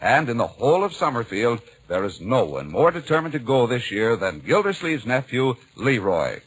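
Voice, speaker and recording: A man's voice: mid-range voice, very animated, moderate pace, breathy edge, low-quality recording. A man announcing a 1940s radio show in a projected, formal, showy manner. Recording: 1940s radio broadcast recording.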